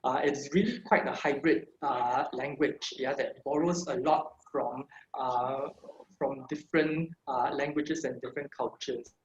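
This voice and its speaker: A man's voice: medium pitch, steady tone, unhurried, few pauses, a little hiss or hum. A man from Singapore presenting an online talk, chatty with lots of fillers and tag questions, heard over a video call. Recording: echoey room, dull lo-fi video call audio.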